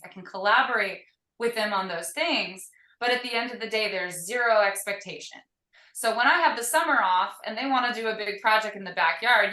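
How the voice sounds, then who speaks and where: A woman guest in a casual video-call interview, talking in an energetic, upbeat way with frequent fillers from her tiny home. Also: medium pitch, reverberant, rough recording. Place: tiny shipping-container home.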